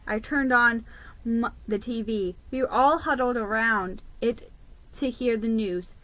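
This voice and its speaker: A woman narrates a children's story in a theatrical, deliberate style. Her voice is bright and sits a little high. She takes her time with each phrase, giving it dramatic weight. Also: dry recording, rough recording. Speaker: storybook reader.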